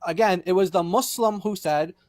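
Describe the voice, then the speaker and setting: A man's voice: high voice, moderate pace, a little mellow, dry recording. A man co-hosting a casual discussion, insisting on his points and repeating them for emphasis.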